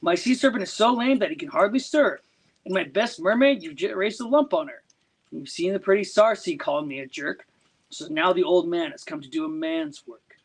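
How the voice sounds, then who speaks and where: A man's voice: sits high, expressive, moderate pace, slightly rough, full character voice. A man voicing an angry, muttering old sea god in a recited verse tale.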